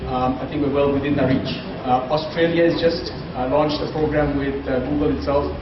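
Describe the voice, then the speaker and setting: A man moderating a conference panel, briskly framing questions with frequent tag questions. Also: medium pitch, fairly even intonation. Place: conference room. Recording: dull, roomy, distant, noisy.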